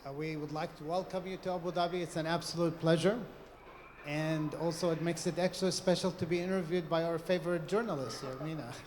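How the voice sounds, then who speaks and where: A man from Iraq politely putting a formal question as an audience member, in a courteous, deliberate way. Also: a little high, steady tone. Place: large book fair session hall. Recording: echoey hall, audible background noise.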